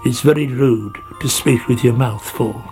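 A man voicing a slow, prim older male snail who sighs and disapproves, in a storybook. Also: mid-range voice, music in the background, natural voice. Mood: disapproving. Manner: sighing, disapproving.

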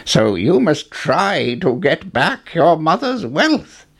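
A man's voice: on the high side, big pitch swings, takes it slowly, a bit bright, breathy edge, voice pushed up, heavily acted. A man voicing a solemn, mysterious old man who gives earnest, emphatic advice in a storybook. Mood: urgent, solemn.

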